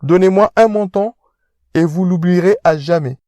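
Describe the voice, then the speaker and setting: An actor playing a haughty, condescending rich young fiancé in an audio drama, speaking formally and pompously. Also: male voice, high voice, moderate pace, dark tone, dry recording, clean studio sound, lightly acted. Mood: scornful. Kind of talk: independent audio drama acting.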